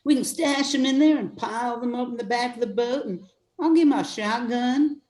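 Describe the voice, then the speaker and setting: A roomy, slightly high voice. An older woman plays a plucky, affectionate bayou mother in a radio drama, homespun in her talk and tough when she needs to be. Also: moderate pace, darkish tone, lightly acted. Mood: game, brisk. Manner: folksy, homespun dialect. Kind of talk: radio play acting.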